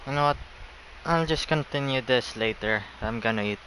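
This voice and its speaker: A man's voice: mid-range voice, moderate pace, sharp tone, a little hiss or hum, low-quality recording. A man giving casual commentary as he plays a video game. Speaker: gamer, streamer. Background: game music.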